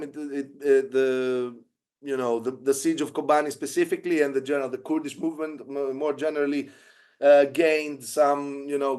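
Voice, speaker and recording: Hesitant Greek-accented man, fairly high voice. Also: darkish tone. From Greece. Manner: hesitant, many fillers and restarts. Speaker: guest filmmaker. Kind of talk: interview answer, casual.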